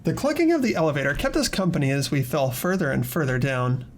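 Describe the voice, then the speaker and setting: Higher-pitched man narrating a game. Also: wildly swooping pitch, quick pace, a little hiss or hum. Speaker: narrator and player in a voiced game playthrough. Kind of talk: dramatic game narration with casual asides.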